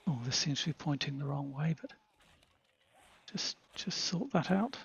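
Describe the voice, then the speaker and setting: A man voicing a confident jungle man who thinks of himself as British, with a British accent and a husky voice, talking in a chatty, understated way and muttering asides as he plays a game. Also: fairly high pitch, expressive, moderate pace, lo-fi sound, full character voice. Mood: pleased. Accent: British English. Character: confident jungle man Tarzan turned proper British gent. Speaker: gameplay commentator in character. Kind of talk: in-character gameplay narration.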